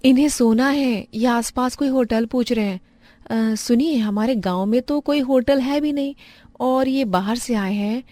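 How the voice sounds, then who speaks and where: Lady doing humble young village wife from a cartoon story, kind n earnest, gentle plain talk, dry studio sound. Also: on the high side, unhurried, no room sound, voice pushed up, lightly acted.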